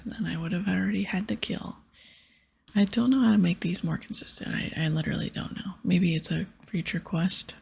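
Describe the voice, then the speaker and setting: A woman giving hushed, breathy ASMR commentary as she plays a game, mostly whispering with stretches of soft speech. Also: lowish voice. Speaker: ASMR gaming streamer.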